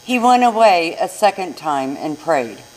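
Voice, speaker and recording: A woman's voice: on the low side, very animated, measured pace, a little mellow, a little hiss or hum, poor audio. A woman narrating a scripted scripture reading in church. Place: inside a church.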